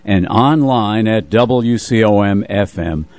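A man's voice: on the low side, slow, darkish tone, no echo. A man reading a scripted station identification as a radio announcer.

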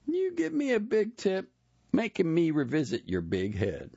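A man's voice: on the high side, wildly swooping pitch, moderate pace, vocal fry, mellow, no room sound, muffled recording, voice pushed up, heavily acted. A man voicing a tired woman barber who speaks dryly and wearily. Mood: teasing.

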